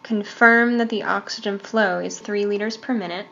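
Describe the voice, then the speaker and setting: A woman giving step-by-step instructions as a training instructor, in a measured way. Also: mid-range voice, fairly even intonation, vocal fry, sharp tone, muffled recording. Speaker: medical device training instructor.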